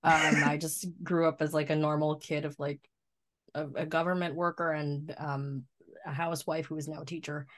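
Low-voiced chatty young woman. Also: flat delivery, moderate pace. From Canada, Ottawa. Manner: chatty, laughs often, many ums. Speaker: podcast guest. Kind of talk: casual podcast chat.

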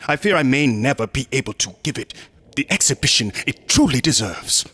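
An actor playing a smug, unctuous museum assistant in a horror radio drama, courteous and insinuating, sometimes dropping into whispers. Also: male voice, mid-range voice, very animated, fairly quick, bright, forceful tone, slightly rough, exaggerated voice. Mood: oily politeness. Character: sinister, smug, unctuous museum assistant guarding macabre exhibits.

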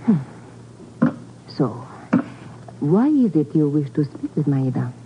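Low sultry dancer, muffled radio. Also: female voice, very animated, slow pace, heavily acted. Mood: sultry, inviting. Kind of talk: 1950s radio drama acting. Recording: muffled, noisy 1950s radio recording.

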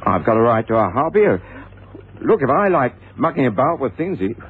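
An actor playing a nervous, irritable shopkeeper with a defensive, blustering manner in an old radio drama. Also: male voice, mid-range voice, very animated, a little fast, close to an everyday voice. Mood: indignant. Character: nervous, irritable male shopkeeper with something to hide. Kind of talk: 1970s radio drama acting. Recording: tinny, hissy old radio recording.

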